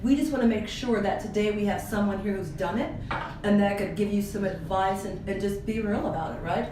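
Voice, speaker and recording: A woman's voice: medium pitch, brisk. Gushing woman host, far off in echo. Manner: gushing, repeats her praise, stacks questions. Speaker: interview host. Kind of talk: casual interview hosting. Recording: echoey room, distant mic, faint noise.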